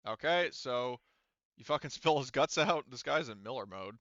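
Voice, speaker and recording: A man's voice: medium pitch, animated, moderate pace, fairly bright tone, no room sound, lo-fi sound. A man running a tabletop game as game master, narrating casually with swearing and jokes. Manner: sweary, joking.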